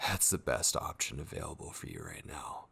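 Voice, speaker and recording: A man acting an obsessive, possessive kidnapper in an ASMR horror roleplay, brooding and intense, with a breathy, gravelly voice that often drops into a whisper. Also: extremely low pitch, very animated, pushed and bright, heavily acted. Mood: cold, urging. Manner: slow, intense, brooding delivery with drawn-out pauses. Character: obsessive, possessive male yandere kidnapper, menacing yet tender.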